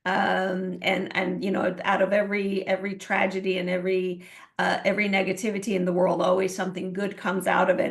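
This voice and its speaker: Woman from Iran, coach and interview guest; gravelly, earnest, halting with fillers. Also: medium pitch. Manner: earnest, many fillers and restarts. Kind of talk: interview answers.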